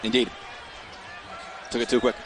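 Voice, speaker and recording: A man's voice: mid-range voice, little pitch movement, moderate pace, noisy background. An American man giving conversational color commentary on a live basketball game.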